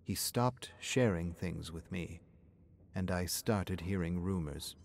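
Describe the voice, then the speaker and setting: A man's voice: low voice, slow pace, gravelly, a little mellow, voice pushed down, exaggerated voice. A man voicing an anxious, grieving middle-aged woman in a story, speaking in a hesitant, wistful way. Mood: uneasy, hushed.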